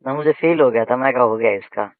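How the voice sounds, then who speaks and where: A man's voice: medium pitch, fast talker, dry recording. A man chatting casually and intimately on a recorded phone call, colloquial and teasing, often repeating his phrases.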